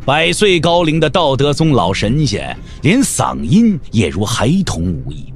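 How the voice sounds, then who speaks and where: A man's voice: mid-range voice, big pitch swings, unhurried, faint music behind. A man narrating a wuxia audio drama in a dramatic, scripted storytelling style.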